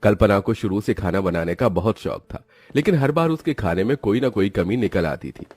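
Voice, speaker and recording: A man's voice: on the low side, lively intonation, normal speed, darkish tone, husky, dry recording. A man reading scripted storybook narration for a cartoon.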